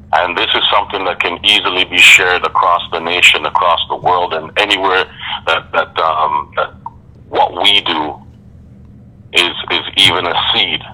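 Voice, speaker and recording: A man being interviewed as a guest MC, speaking in a deliberate, reflective way with measured phrasing. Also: deep voice, sharp tone, low-quality recording. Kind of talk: podcast interview.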